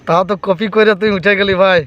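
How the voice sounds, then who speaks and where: A man's voice: high-pitched, fairly even intonation, quick pace, fairly bright tone. A man with a Purulia Bengali accent making a prank phone call in casual chat, needling and repeating himself. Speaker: prank caller. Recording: dull lo-fi sound with clear background noise.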